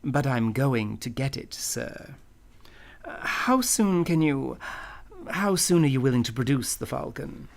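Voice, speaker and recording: Man playing courtly, gravelly crime boss. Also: medium pitch, sing-song, measured pace. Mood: relishing, grandiose. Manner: courtly, verbose, pompous. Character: genteel, scheming crime boss obsessed with treasure. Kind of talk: old-time radio play acting.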